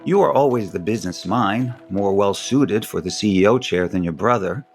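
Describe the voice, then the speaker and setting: A man's voice: fairly low pitch, moderate pace, soft background music, natural voice. An actor playing a diplomatic middle-aged businessman and board member in an audio soap opera. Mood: cordial, flattering.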